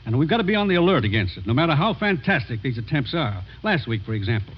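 Husky, earnest radio-drama commissioner. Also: male voice, mid-range voice, very animated, fast. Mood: earnest, brisk. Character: brisk, earnest male government commissioner briefing his agent. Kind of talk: 1950s radio drama acting. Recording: muffled vintage radio recording.

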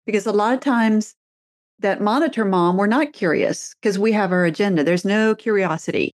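A woman's voice: mid-range voice, moderate pace, a little mellow, dry recording. Casting: middle-aged woman host, chatty storyteller, dry close recording. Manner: chatty storyteller, laughs easily. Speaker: podcast host, therapist and author. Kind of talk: casual conversation.

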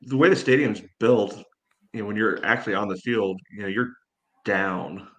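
A man giving casual sports analysis in a halting, measured way, padding his sentences with filler phrases. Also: on the low side, a bit bright. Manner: halting, measured, peppered with "you know". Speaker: sports podcast panelist.

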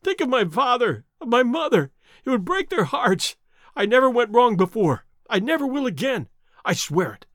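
A man voicing a frightened, cringing thief in an audiobook, pleading and stammering with a cracked, shrill voice. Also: very animated, moderate pace, no room sound, studio quality. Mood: desperate pleading. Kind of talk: dramatic audiobook character reading.

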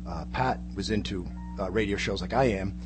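A man's voice: lowish voice, normal speed, music in the background. A man chatting casually as a co-host and radio fan in a studio. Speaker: guest co-host, old-time radio fan. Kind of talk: casual chat. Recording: clear hum and background noise.

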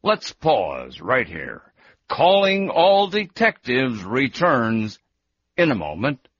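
A man's voice: medium pitch, wildly swooping pitch, takes it slowly, fairly bright tone. A man announcing a radio show in scripted style. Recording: muffled old radio recording. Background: music.

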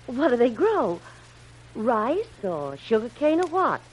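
A woman's voice: fairly high pitch, very animated, slow pace, breathy edge, heavily acted. A woman playing a frightened but commanding, suspicious captive young woman in melodramatic, stammering 1930s radio style. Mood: suspicious, probing. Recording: noisy old radio recording.

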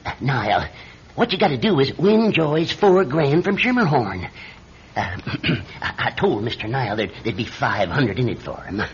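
An actor playing a nervous, eager small-time hustler who stammers and repeats himself, in an old-time radio detective drama. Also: male voice, mid-range voice, sing-song, a little slow, slight background noise. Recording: dull radio recording with loud music behind.

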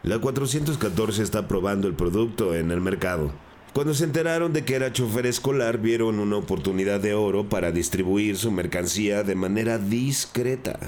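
Guy acting a gang dealer backed into a corner, lowish voice, defensive and pleading, crime podcast drama. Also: fairly quick, darkish tone, a little hiss or hum, close to an everyday voice. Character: cornered male gang drug dealer and school driver, pleading.

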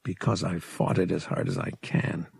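A man's voice: low voice, little pitch movement, moderate pace, vocal fry, darkish tone, slightly rough, no room sound, voice pushed down, full character voice. A man voicing a cynical, wary young male space pilot in an audiobook, with a wry, sardonic delivery. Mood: guarded, disgusted. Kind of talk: audiobook character dialogue.

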